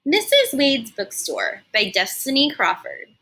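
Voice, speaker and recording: A woman voicing an earnest, caring young girl in an expressive children's storybook delivery. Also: high voice, a little slow, heavily acted, poor audio.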